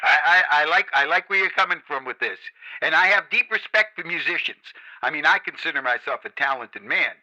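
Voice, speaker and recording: A man hosting a radio show, talking up a guest's stores in smooth, salesy DJ patter. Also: a little high, expressive, fairly quick, sharp tone, rough recording. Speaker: radio host. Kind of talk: hyped radio show chat.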